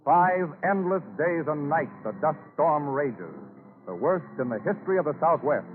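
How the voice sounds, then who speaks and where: A man's voice: on the high side, expressive, normal speed, lightly acted. A man narrating an old-time radio drama in a grave, dramatic, scripted style. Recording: tinny old radio recording, music behind.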